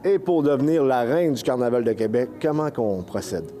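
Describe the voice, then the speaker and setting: A man's voice: mid-range voice, animated, normal speed, dark tone. A man with a Quebec French accent interviewing someone in the field as a local TV reporter, asking friendly, informal questions.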